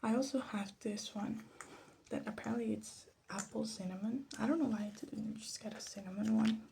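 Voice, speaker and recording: A woman speaks in a soft, mellow voice with plenty of fry, often dropping to a whisper. She muses hesitantly and self-deprecatingly as an ASMR host, over faint background noise and small clicks. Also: medium pitch, normal speed. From Latin America. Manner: hushed, often slipping into a whisper. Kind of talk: soft-spoken ASMR commentary while demonstrating. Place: bedroom.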